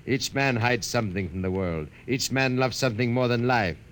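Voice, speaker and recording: A suave, sinister doll-maker and blackmailer, voiced by a man, silky and theatrical, with slow, menacing phrasing. His pitch sits in the middle range, over the faint hiss of an old radio recording. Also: fairly bright tone, natural voice. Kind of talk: old-time radio drama acting.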